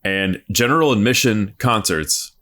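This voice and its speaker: Deep voiced guy on a comedy podcast, low pitch, deadpan bragging and swearing. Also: measured pace. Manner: deadpan boastful with crude jokes. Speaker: podcast co-host. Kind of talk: comedic casual chat.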